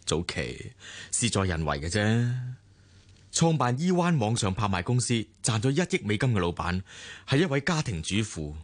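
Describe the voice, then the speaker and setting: Casting: persuasive self-made husband in radio drama, Hong Kong accent, low animated delivery, dry studio sound. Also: male voice, normal speed, dry recording. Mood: persuasive, placating. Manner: earnest, placating, persuasive. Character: self-made businessman husband, earnest, devoted, patient. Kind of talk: Cantonese radio drama acting, dialogue.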